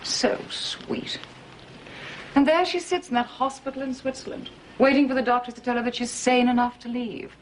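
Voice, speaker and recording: An actress playing a woman who muses slowly and gossips. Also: fairly high pitch, fairly even intonation, slight background noise, lightly acted, poor audio. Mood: musing. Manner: slow, musing, gossipy.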